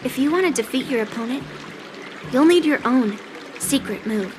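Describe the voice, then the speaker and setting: A young sword instructor in an anime-style game. She is high-voiced, composed and earnest, explaining things in a measured way. Light music plays under the voice. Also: animated. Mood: earnest, instructive.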